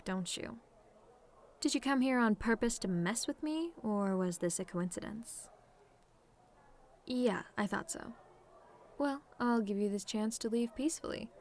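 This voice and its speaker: A woman's voice: fairly high pitch, close to an everyday voice. A woman playing a sardonic, teasing tomboy demon in a scripted roleplay monologue, talking in a casual, taunting way straight to the listener. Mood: annoyed, cocky. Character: sardonic tomboy female demon, relaxed, teasing, secretly fond of simple pleasures.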